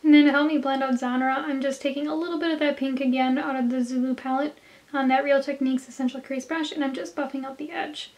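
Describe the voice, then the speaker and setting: A woman with a hoarse, slightly nasal voice, chatting through a makeup tutorial for her viewers. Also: high-pitched, fairly even intonation, fast talker, a little mellow. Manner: chatty, talks straight to viewers. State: hoarse from a cold. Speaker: beauty vlogger.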